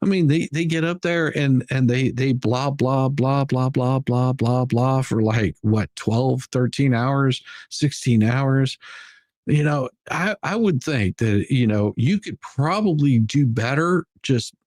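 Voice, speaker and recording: A man's voice: medium pitch, slow, darkish tone, dry recording, studio quality. A man hosting a casual livestream, commenting in a mocking, sarcastic way and rambling through repeated phrases as he chats with viewers. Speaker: livestream host.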